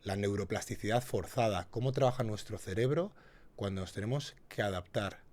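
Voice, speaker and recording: A man's voice: fairly low pitch, a little fast, a little mellow. A man hosting an interview podcast, introducing the episode and guiding the guest through his story. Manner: builds up intro with rising energy. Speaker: podcast host.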